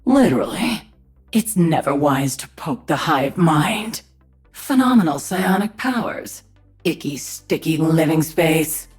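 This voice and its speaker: A woman voicing a sardonic, commanding alien-hybrid warrior queen in a video game, with a husky voice. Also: low voice, expressive, takes it slowly, darkish tone, faint music behind, exaggerated voice. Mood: menacing, sardonic. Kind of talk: video game character voice lines.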